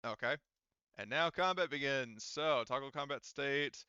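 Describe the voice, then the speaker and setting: A man casually running a tabletop roleplaying game, explaining in a halting, self-correcting way. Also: medium pitch, pushed and bright, clean tone, dry recording, lo-fi sound. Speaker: game master.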